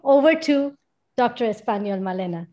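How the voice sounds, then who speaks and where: A woman opens an online course with encouraging remarks and hands over to the speaker. Her voice is a little high. She sounds upbeat and supportive. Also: expressive, moderate pace. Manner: warm, encouraging. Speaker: course organizer. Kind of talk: welcoming remarks on a video call.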